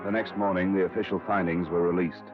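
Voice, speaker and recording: An American actor playing a cool, probing, hard-boiled insurance investigator in a terse 1950s radio detective style. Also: male voice, low-pitched, little pitch movement, fast talker, lightly acted. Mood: terse, matter-of-fact. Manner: terse, matter-of-fact, probing. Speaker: lead actor and narrator. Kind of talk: 1950s radio detective acting, clipped noir narration. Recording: dull vintage 1950s radio broadcast, music behind.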